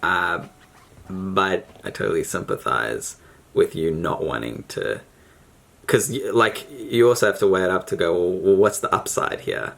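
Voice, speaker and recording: A man's voice: low-pitched, unhurried, creaky, a bit bright. A man chatting with a stranger on a video call, reflective and hesitant, with many fillers. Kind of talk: casual conversation.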